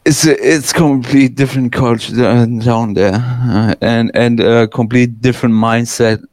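A man's voice: mid-range voice, steady tone, measured pace, a little mellow, no echo. A man chatting casually, hesitant, full of fillers and restarts, swearing freely. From Germany. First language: German. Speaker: guest. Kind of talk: casual conversation.

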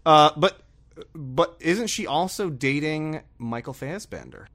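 A man's voice: a little high, lively intonation, a little slow, fairly bright tone. A man in casual podcast chat, jokey and given to chuckling as he talks. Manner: jokey, chuckles through his talk.

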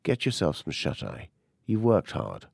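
A man's voice: deep voice, wildly swooping pitch, measured pace, vocal fry, darkish tone, no room sound, studio quality, heavily acted. A man voicing a suspicious, stiffly polite husband from a short story, with a pointed, dryly sarcastic manner. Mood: evasive, placating.

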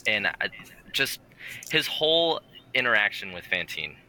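Very bright, animated man, podcast co-host. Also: lowish voice, a little slow, a little hiss or hum, rough recording. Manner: enthusiastic. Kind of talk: casual chat.

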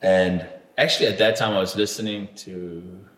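Deep-voiced man rambling through a story. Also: steady tone, normal speed, fairly bright tone, reverberant. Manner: rambling storyteller, frequent fillers and restarts, swears casually. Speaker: podcast guest telling a travel story. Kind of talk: casual chat.

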